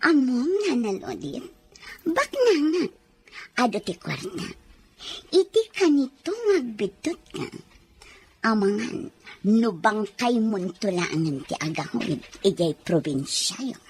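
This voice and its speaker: A woman's voice: medium pitch, big pitch swings, slow, a little hiss or hum. A woman playing a cruel, short-tempered rich wife with a Filipino accent, scolding and domineering in a melodrama. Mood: menacing. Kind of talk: melodramatic audio drama acting. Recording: music behind the voice.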